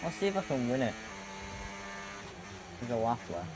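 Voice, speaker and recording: Over a clearly noisy, humming background, a man chats casually as he plays a racing video game. His pitch is ordinary for a man and his talk is informal gamer commentary. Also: very animated, moderate pace. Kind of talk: casual gameplay chat.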